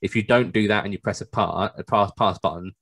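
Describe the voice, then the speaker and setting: Fast-talking, low-pitched casual man. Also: fairly bright tone, breathy edge, no echo, clean studio sound. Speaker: podcast co-host. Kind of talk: casual chat.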